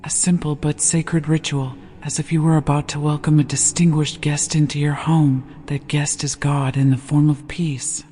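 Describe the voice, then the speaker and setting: A gentle, hushed voice guiding a sleep meditation with slow, deliberate scripted narration. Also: mid-range voice, level tone, slightly rough. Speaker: meditation guide. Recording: long reverb over background music. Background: meditation music.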